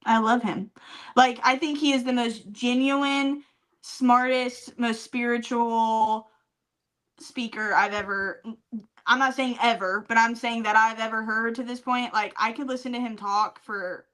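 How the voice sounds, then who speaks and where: Woman podcast cohost w a kinda high voice, playful n emphatic, slangy, just chatting w a friend. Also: fairly even intonation, unhurried, fairly bright tone, low-quality recording. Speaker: podcast co-host. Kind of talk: casual banter between friends.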